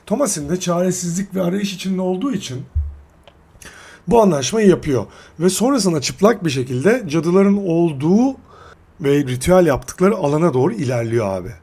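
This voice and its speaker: A man giving casual, slangy film commentary as a video reviewer, halting and correcting himself as he goes. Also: high voice, lively intonation, dark tone, husky. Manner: halting, self-correcting delivery.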